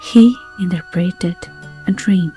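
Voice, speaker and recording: A woman narrating a bedtime story in a gentle, soothing, hushed manner, with unhurried phrasing. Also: on the low side, poor audio. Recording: soft music under the voice. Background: background music.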